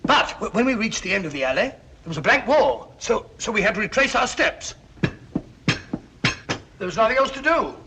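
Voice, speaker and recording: An actor playing a jovial, bumbling older British gentleman telling tales in a hesitant, self-important way, in 1940s film style. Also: sits high, big pitch swings, normal speed, long pauses. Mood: blustery. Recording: noisy old film soundtrack.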